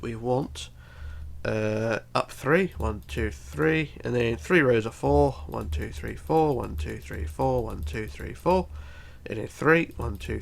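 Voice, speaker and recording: A man's voice: medium pitch, creaky. A man with a bunged-up, congested voice giving a casual step-by-step building tutorial, counting aloud methodically. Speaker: tutorial host.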